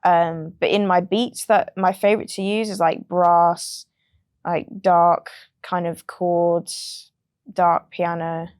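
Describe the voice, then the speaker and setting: Young british woman, producer chatting in an interview, lots of ums, lowish voice. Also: slow, no room sound, studio quality. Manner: relaxed, chatty, frequent ums and laughs. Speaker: music producer guest. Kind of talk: casual interview chat.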